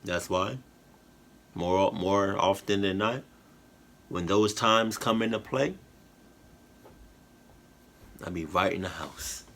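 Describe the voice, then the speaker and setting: A man's voice: sits low, unhurried, gravelly, fairly bright tone. A tired man chatting casually to his viewers in a reaction video, rambling with slang, fillers and restarts. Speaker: YouTube reactor. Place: at home, indoors.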